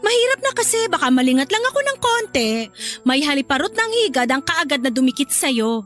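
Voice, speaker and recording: Casting: young married wife for radio drama, very high-pitched, dutiful with a watchful jealous streak. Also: female voice, big pitch swings, a little fast, fairly bright tone, soft background music. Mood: resigned, jealous. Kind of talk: Filipino radio drama acting.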